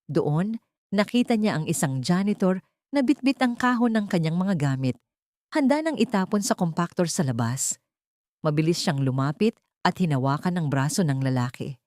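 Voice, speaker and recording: A woman's voice: lowish voice, animated, normal speed, darkish tone, no echo, studio quality. A woman narrating a scripted audio story in a dramatic style.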